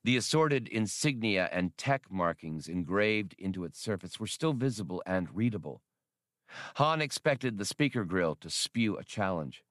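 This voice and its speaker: A man's voice: on the low side, animated, normal speed, a bit bright, no room sound, clean studio sound. A man reading a scripted adventure novel as an audiobook narrator.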